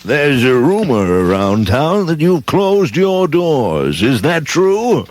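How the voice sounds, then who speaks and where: A man's voice: a little high, sing-song, takes it slowly, a little mellow, a little hiss or hum. A man playing a smug, scheming rich old banker in a comic radio reading. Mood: falsely concerned. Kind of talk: comic radio-show reading of a film script.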